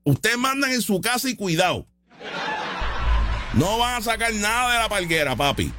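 A Puerto Rican man giving casual, mocking live commentary over a trial, excitable and repetitive. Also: high-pitched, moderate pace, music in the background. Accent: Puerto Rican Spanish. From Puerto Rico. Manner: excitable, mocking, repeats himself. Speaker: online commentator reacting to a trial stream.

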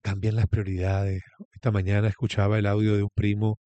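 A man's voice: lowish voice, completely flat delivery, a little fast, warm tone, dry recording, highs cut off. A man with a Venezuelan accent giving a reflective religious talk as a guest, repeating key phrases for emphasis.

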